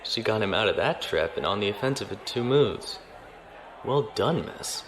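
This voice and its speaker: An actor playing a self-assured naval officer, giving a dry, cool inner monologue. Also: male voice, lowish voice, expressive, normal speed, fairly bright tone, some chatter behind, a little hiss or hum. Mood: amused. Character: cool, cocky male naval officer in disguise. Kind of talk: audio drama acting.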